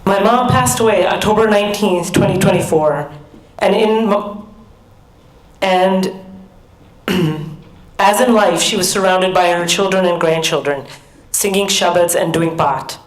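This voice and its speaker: Woman, American accent, middle-aged, earnest measured storytelling, conference talk, echoey hall. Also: on the low side. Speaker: conference speaker. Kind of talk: personal talk at a research summit.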